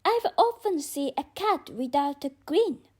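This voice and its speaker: A woman's voice: sits high, lively intonation, measured pace, darkish tone, voice pushed up. A woman with a non-native English accent reading the part of a curious, polite young girl in an audiobook, earnest and questioning. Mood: musing.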